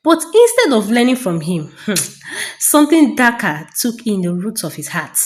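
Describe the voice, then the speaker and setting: A woman narrating a folktale as a storyteller, in a dramatic, deliberate and suspenseful scripted style with pauses. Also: fairly high pitch, very animated.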